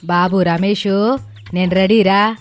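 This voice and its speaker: A woman's voice: mid-range voice, steady tone, slow pace, soft background music, close to an everyday voice. A woman voicing a stubborn, self-satisfied middle-aged mother-in-law in a cartoon moral story. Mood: confident. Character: Sharada, stubborn, gloating middle-aged mother-in-law who loves eating.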